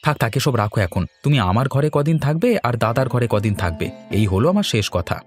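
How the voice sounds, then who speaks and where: A man's voice: medium pitch, expressive, fast, soft background music, close to an everyday voice. A man voicing a dutiful, mild village farmer, a loving son and husband in a cartoon, with a coaxing, homely manner. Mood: pleading. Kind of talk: Bengali cartoon dialogue.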